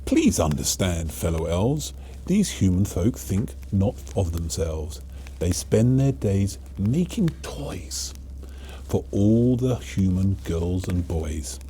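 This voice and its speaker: A man voicing a timid, gentle female elf in a storybook, pleading earnestly in rhyme. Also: low voice, very animated, slow, a little hiss or hum, exaggerated voice. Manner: pleading, rhyming.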